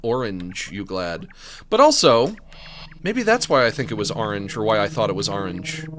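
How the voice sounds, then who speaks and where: A man's voice: lowish voice, very animated, moderate pace, noisy background. A man with a general American accent, rambling goofily while streaming a video game, often laughing at his own jokes. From New England. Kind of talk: casual live game commentary. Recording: game music playing behind.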